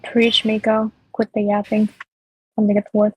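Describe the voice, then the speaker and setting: A woman's voice: medium pitch, monotone, normal speed, muffled recording, slight background noise. A woman chatting casually as a friend on a gaming stream, blunt and slangy. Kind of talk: casual chat. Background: game music.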